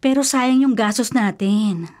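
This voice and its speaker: An actress playing a stubborn, superstitious elder sister, insistent and fretful, in a radio drama. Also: female voice, fairly high pitch, unhurried, darkish tone, close to an everyday voice. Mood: pleading, defensive. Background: faint music.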